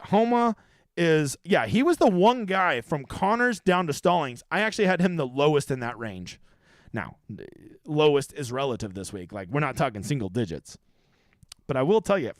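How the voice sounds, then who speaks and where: A man's voice: a little high, no echo, clean studio sound. A man in his thirties hosting a livestream, chatting casually with viewers in a profane, brash, rambling way. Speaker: livestream host. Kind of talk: casual livestream chat answering viewer questions.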